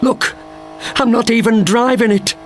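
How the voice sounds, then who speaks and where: Man acting a high-pitched, hysterical young hoodlum. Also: steady tone, a little slow, faint music behind. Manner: jabbering, shouting, hysterical. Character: panicking young male hoodlum car thief, hysterical.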